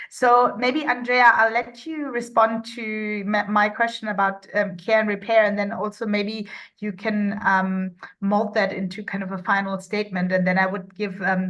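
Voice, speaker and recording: A woman acts as moderator in an informal discussion. Her voice sits at a middling pitch and stays fairly flat, with tentative phrasing as she hands over. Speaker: panel moderator.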